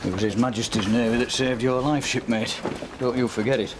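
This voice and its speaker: A man's voice: mid-range voice, steady tone, brisk, natural voice. An actor playing a loyal, plain-spoken navy seaman who admonishes his mates, in a period drama. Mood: reproving. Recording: outdoor ambience, clear background noise.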